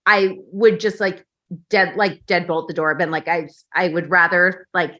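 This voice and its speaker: A woman's voice: lowish voice, steady tone, unhurried, sharp tone. A woman guest on a podcast, chatting casually in a rambling way, filling her talk with "like". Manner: rambling, heavy use of "like". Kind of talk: casual chat.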